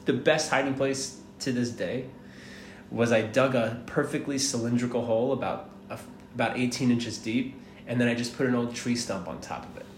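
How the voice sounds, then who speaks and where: A man's voice: medium pitch, steady tone, moderate pace. A man in his thirties from the United States, talking as a guest in a casual interview, laid-back and rambling, laughing now and then and swearing casually. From United States, born in Miami, raised in Atlanta. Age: 30s. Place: hostel. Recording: roomy echo with clear background noise.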